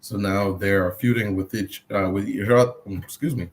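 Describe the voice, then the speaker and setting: A man's voice: fairly low pitch, fairly even intonation, moderate pace, noisy background. A man co-hosting a podcast, talking through the news casually with jokes and fillers. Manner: laid-back, joking, with fillers. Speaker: podcast co-host presenting news. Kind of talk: casual chat.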